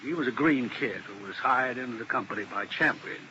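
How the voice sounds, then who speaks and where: A man's voice: mid-range voice, brisk, natural voice. A man playing an anxious, defensive, unsuccessful lawyer in an old radio drama, earnest and pleading. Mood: bitter, pleading. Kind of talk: 1950s radio drama acting. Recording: muffled, hissy old radio recording.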